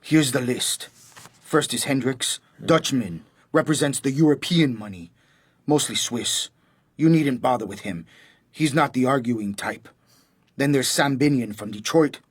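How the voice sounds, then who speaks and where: Radio drama villain: American gangster, mid-pitched, slangy, bossy, quick to menace. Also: male voice, slightly rough. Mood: curt, bossy. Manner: blunt, bossy, threatening. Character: menacing American gangster assassin, short-tempered hotel owner.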